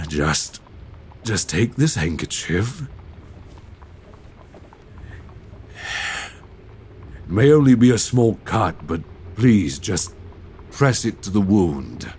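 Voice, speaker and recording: A man voices a Victorian gentleman vampire with a very low voice that swings widely in pitch. He speaks slowly and intimately in courteous, old-fashioned phrases, restrained and secretive, as in a romantic ASMR audio drama. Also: very animated, slight background noise, full character voice. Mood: alarmed, strained. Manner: formal, genteel period diction with endearments. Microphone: close binaural microphone. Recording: binaural recording with clear background ambience.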